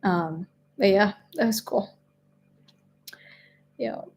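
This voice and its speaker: A woman's voice: mid-range voice, drawn-out words, darkish tone. A woman in her twenties with a French accent, chatting casually on a live stream, rambling with many fillers and restarts. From France. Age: 20s. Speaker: travel vlogger answering live chat.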